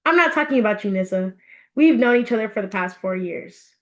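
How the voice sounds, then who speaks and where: A woman's voice: mid-range voice, lively intonation, fairly quick, natural voice. A woman voicing an earnest, teasing young male best friend in a storybook, chatty and insistent. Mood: teasing, defensive.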